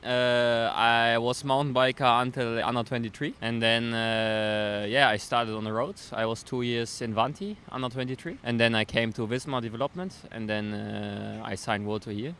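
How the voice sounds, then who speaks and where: A young man from East Belgium with a German accent, a pro cyclist speaking haltingly in a casual interview. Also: mid-range voice, fairly even intonation, a bit bright, slight background noise. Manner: hesitant, many fillers and restarts.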